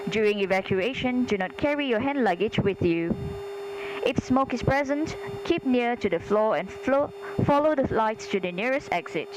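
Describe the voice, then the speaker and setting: A woman flight attendant reading scripted airline safety announcements in a formal, measured, instructional way. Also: a little high. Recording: clear engine hum behind cabin announcement. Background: steady aircraft hum.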